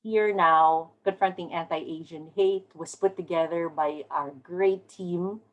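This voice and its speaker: A woman from the Philippines speaking on a community panel as an organization's president, earnest and thoughtful, pausing on fillers. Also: on the low side, fairly even intonation, muffled recording. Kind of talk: panel discussion talk.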